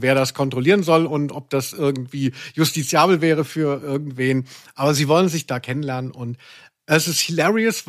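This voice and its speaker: A man hosting a podcast, chatting casually in a rambling, self-deprecating way. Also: a little high. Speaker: podcast host. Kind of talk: casual conversational podcast chat.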